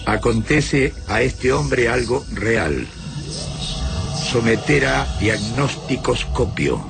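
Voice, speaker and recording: An actor playing a cold, methodical alien captor in a science fiction radio drama, speaking solemnly in halting, broken syntax. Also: male voice, mid-range voice, lively intonation, creaky. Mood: clinical, puzzled. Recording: music behind the voice.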